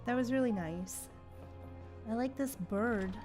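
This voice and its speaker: Female game streamer, fairly high voice, unhurried pace, chatty casual commentary, faint music behind. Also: a little mellow. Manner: chatty, reacting aloud to the game. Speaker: game livestreamer.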